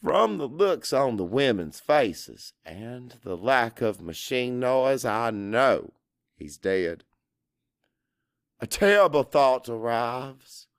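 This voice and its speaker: Slow, expressive male audiobook narrator. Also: mid-range voice, no room sound, clean studio sound. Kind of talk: dramatic first-person fiction narration.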